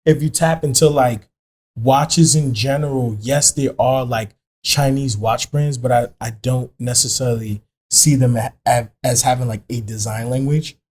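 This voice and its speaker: A man's voice: mid-range voice, steady tone, normal speed, a little mellow, slightly rough. A man co-hosting a podcast interview, often backing up the guest with short agreements. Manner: frequent short affirmations.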